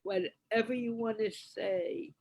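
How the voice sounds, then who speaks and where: A woman hosting a casual live online interview with a halting delivery. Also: on the high side, steady tone, a little mellow. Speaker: host of online interview show.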